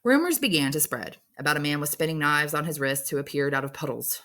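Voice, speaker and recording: Low-voiced woman reading a novel aloud. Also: fast talker, a bit bright. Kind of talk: storybook narration, read aloud.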